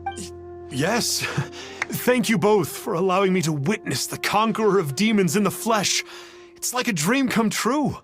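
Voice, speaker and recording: An actor playing a remorseful young trickster in a video game, earnest and a little stammering. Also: male voice, high voice, lively intonation, a little slow, fairly bright tone, soft background music. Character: repentant young male trickster, earnest and fawning.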